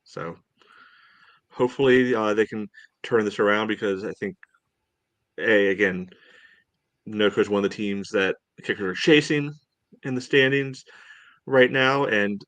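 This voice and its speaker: Low-pitched man, casual sports podcast host. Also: a bit bright.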